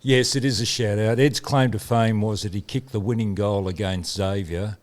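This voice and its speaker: An Australian man co-hosting a podcast, bantering, teasing and interrupting with quick laughs. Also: on the low side, steady tone. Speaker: podcast co-host. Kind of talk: casual chat.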